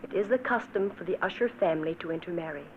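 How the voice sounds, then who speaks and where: Woman doing a gentle dutiful young lady, hushed solemn delivery, tinny old broadcast, faint hiss. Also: lowish voice, fast, lightly acted. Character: gentle young woman, timid and dutiful, narrating her fate. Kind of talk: 1940s radio acting.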